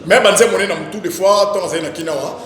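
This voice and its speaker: A man with a Congolese accent, an actor being interviewed, talking casually in an animated, rambling way and repeating his phrases. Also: high-pitched, fast talker, a crowd in the background. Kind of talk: casual interview, recounting career. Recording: very echoey reverberant room, music behind. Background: music.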